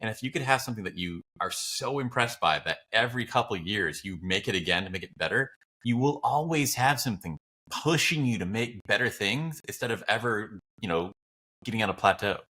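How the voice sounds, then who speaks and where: A man's voice: on the low side, lively intonation, brisk, sharp tone. A man talking casually as a podcast co-host, reflective and encouraging. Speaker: podcast co-host and video creator. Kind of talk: casual chat.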